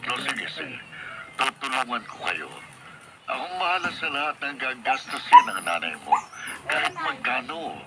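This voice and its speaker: Wealthy, expressive mansion master. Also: male voice, medium pitch, normal speed, vocal fry, heavy background noise. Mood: pleased, generous. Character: wealthy mansion master, infatuated with his young maid. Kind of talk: Filipino radio drama acting. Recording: radio drama with loud music bed.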